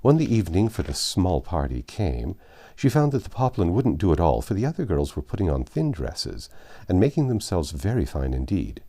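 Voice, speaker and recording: A man reading a classic story aloud as a narrator, in expressive scripted phrasing. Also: sits low, moderate pace. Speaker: audiobook narrator.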